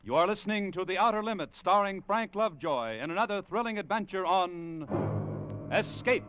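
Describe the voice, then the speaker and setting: A man with a high, resonant voice announces in dramatic 1950s radio fashion. It is a dull old recording with music behind. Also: expressive, moderate pace, fairly bright tone, smooth, dry recording.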